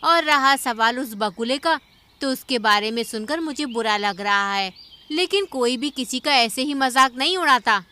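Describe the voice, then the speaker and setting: A woman's voice: high voice, animated, normal speed, bright, forceful tone, smooth. A woman voicing a sensible, cautious bird auntie in a cartoon, reasoning matter-of-factly. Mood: measured.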